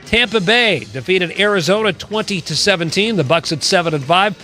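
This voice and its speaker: A man's voice: sits high, lively intonation, brisk, sharp tone, soft background music. A man hosting sports radio, reading a scripted scoreboard update with an announcer's delivery.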